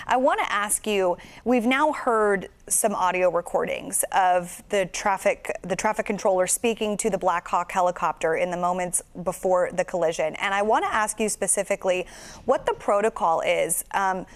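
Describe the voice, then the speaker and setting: A woman's voice: mid-range voice, moderate pace. A woman news anchor conducting a live interview in a conversational, inquisitive way.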